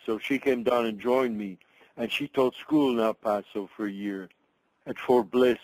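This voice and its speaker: An older man from Belgium, a migration researcher, answering interview questions in a thoughtful, halting way with many restarts. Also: medium pitch, a little mellow, dry recording, rough recording.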